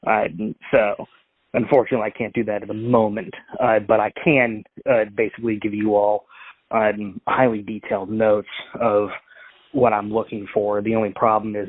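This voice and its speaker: A man's voice: on the low side, no room sound. Rambling man on a tinny phone line. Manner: rambling, full of fillers and restarts. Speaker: prank caller. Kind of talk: prank phone call.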